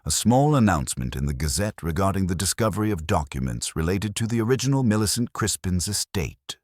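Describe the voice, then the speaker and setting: A man reading a detective mystery as scripted narration, in a measured, formal storytelling manner. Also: low voice, sing-song, a little mellow, no echo, studio quality.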